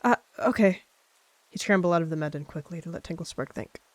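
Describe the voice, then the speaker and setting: A woman's voice: low-pitched, fairly even intonation, fast talker, dry recording. Woman narrating roleplay, dry close sound.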